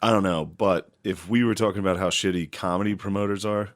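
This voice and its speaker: A man's voice: low voice, fast talker. A man co-hosting a crude comedy podcast, tossing out profane one-liners. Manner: profane, wisecracking, lively. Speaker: podcast co-host.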